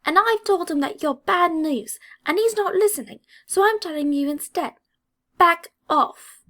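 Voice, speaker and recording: A woman voicing an angry, blustering schoolboy in an audiobook, with a sharp, squeaky, sputtering delivery. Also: measured pace. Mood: indignant, accusing. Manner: sputtering, confrontational.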